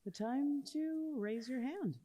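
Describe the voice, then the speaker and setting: A woman's voice: sits high, takes it slowly, warm tone. A woman moderating a public forum and inviting questions from the audience.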